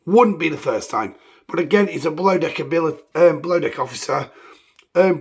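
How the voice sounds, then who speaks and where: A man hosts a casual game review, his voice croaky from illness, rambling with ums and self-corrections. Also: on the high side. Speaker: gaming channel host.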